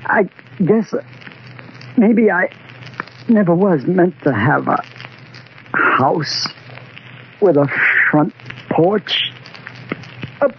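Doomed young American lover, slow and halting, lightish pitch, tough but tender, vintage radio play. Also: male voice, lively intonation, heavily acted. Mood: tender, fading. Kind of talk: 1940s radio drama acting. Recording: muffled, noisy old radio recording.

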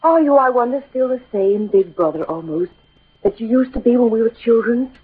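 An earnest young noblewoman deeply in love. Her voice is highish and breathy, with the theatrical delivery of old radio drama. The recording is thin and narrow, like a telephone line. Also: expressive, moderate pace. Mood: coy, nostalgic. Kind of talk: 1940s-50s theatrical radio drama acting.

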